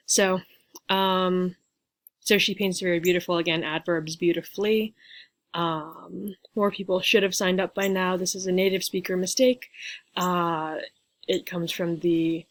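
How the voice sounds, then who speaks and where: A young woman giving a relaxed grammar lesson over slides, talking into a webcam at home. Her voice sits a little low, and she chats loosely with plenty of fillers and playful asides. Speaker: teacher. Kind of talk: casual slide lecture.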